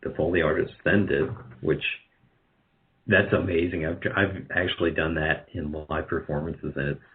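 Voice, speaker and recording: Male interview guest, very low voice, casual and halting, heard over dull narrow-band call audio. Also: steady tone. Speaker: guest, radio drama producer.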